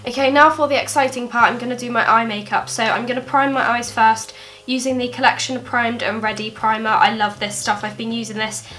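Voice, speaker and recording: Young woman, bright voice, a bit high, stuffy from a cold, chatty tutorial vlog. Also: flat delivery, fairly quick. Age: young adult. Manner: chatty, friendly, many ums. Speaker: beauty vlogger. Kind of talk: makeup tutorial. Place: bedroom desk indoors.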